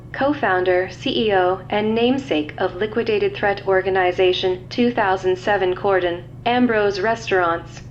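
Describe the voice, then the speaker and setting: A woman voicing an official computer system that reads intelligence files aloud in a formal, impersonal, clipped manner. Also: close to an everyday voice. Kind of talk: scripted dossier read-out. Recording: dull lo-fi audio, faint rumbling noise.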